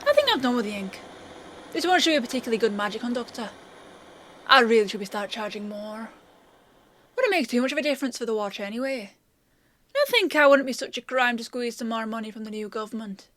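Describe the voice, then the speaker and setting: A woman's voice: a little high, fast talker, fairly bright tone, slight background noise, natural voice. A woman playing a chatty, confident country apothecary in a fantasy audio roleplay, explaining and teasing as she talks to the listener. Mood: brisk, instructive. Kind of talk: one-sided roleplay acting addressed to the listener.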